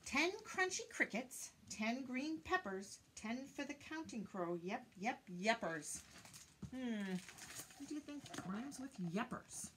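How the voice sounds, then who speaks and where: An older woman reads a picture book aloud to young children, counting in a deliberate singsong and prompting them with questions. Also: on the high side, expressive, a bit bright, a little hiss or hum. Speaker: children's teacher reading aloud. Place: indoors, small room lined with bookshelves.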